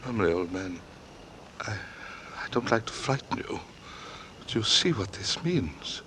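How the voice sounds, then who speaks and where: An actor playing a chummy, cautious English bachelor friend, clipped and a little hesitant, in 1940s radio suspense style. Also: male voice, fairly low pitch, very animated, measured pace, fairly bright tone. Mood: worried. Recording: noisy vintage radio drama recording.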